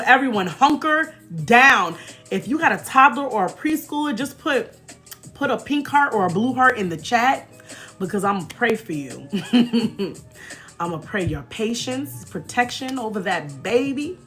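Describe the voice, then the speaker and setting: An American woman in her thirties chatting casually as a livestream host, telling stories in an animated, playful, teasing way. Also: on the high side, unhurried, soft background music. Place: small indoor room in front of a banner.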